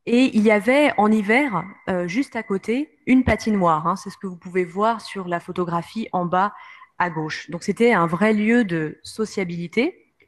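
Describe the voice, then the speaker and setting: French woman lecturing, halting delivery, many fillers, middle pitch. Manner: many hesitations, frequent "euh". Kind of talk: historical talk with slides.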